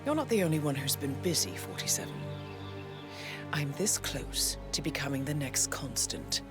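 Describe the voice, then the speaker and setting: An actress playing a composed, scheming female handler in a game cutscene, with measured, controlled delivery. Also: deep voice, very animated. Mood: confiding. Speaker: video game character. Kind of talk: cinematic game dialogue. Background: game soundtrack music.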